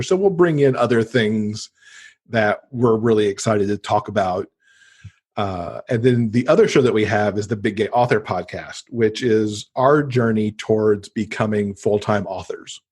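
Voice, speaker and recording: Low-voiced man chatting, a bit husky. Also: darkish tone. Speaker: podcast guest; podcast host and author. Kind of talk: casual interview chat.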